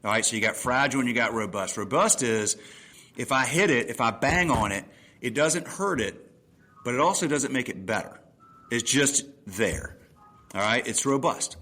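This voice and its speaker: A man of fifty hosts a podcast conversation from his desk, speaking into a microphone beside his laptop. He talks in a relaxed, enthusiastic way and works through ideas with plain everyday examples. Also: mid-range voice, normal speed. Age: 50s. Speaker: podcast host. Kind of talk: casual conversational interview. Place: room at a table with laptop.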